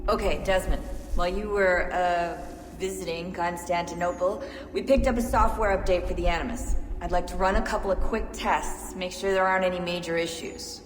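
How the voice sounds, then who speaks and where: An actress voicing a businesslike woman technician in a video game, giving clear, matter-of-fact instructions. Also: on the low side, fairly even intonation, moderate pace, background noise, natural voice. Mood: focused, guiding. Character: businesslike young woman technician guiding a test subject. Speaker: technician guiding a simulation test. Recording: echoey reverberant hall, music behind.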